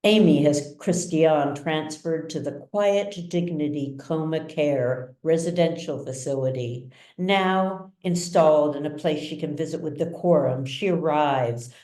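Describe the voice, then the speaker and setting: A woman author reading her novel as dramatic literary narration, with measured, deliberate phrasing. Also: low-pitched, dark tone. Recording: roomy echo, distant mic.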